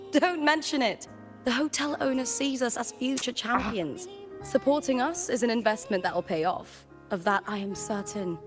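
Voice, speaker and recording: Woman playing confident young warrior gal from a video game, friendly n earnest, soft music in back. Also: fairly high pitch, expressive, normal speed, close to an everyday voice. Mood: upbeat, welcoming. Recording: music under the voice.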